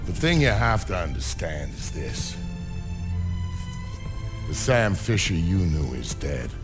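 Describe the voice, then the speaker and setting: A man's voice: low-pitched, very animated, slow, full character voice. An actor voicing a world-weary male narrator in a game, telling the story bluntly and bitterly. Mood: grim. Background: music.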